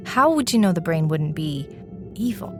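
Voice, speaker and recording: A woman's voice: deep voice, big pitch swings, moderate pace, over music. An actress playing a curious, playful, slightly squeamish young woman in an audio drama, casual and inquisitive.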